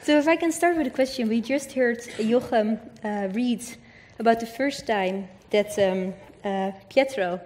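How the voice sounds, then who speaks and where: A woman's voice: fairly high pitch, a little slow, few pauses, darkish tone, a little hiss or hum. A woman in her thirties interviewing guests on a theatre stage with direct, friendly questions.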